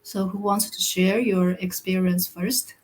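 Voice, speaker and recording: A woman from Taiwan hosting a weekly live business chat, upbeat and halting with many fillers. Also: medium pitch, steady tone, darkish tone, low-quality recording. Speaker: host.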